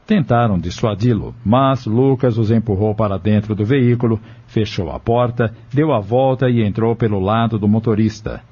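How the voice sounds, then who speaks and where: Low, mellow Brazilian male narrator. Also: moderate pace, hardly any pauses, husky. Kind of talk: scripted audio drama narration. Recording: dull audio with music underneath. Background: music.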